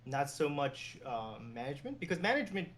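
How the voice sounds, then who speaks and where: A man from New York City, a former team general manager and agent, talking as a guest in a relaxed, meandering way with the odd chuckle. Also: on the high side, fairly quick. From New York City, USA. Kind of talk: casual interview conversation.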